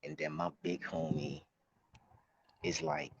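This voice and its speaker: Man around thirty, very low creaky voice, slangy casual commentary, halting delivery, noisy outdoor audio. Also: big pitch swings, no echo. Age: late 20s, about thirty. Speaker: vlog host. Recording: muffled, noisy outdoor recording with clicks.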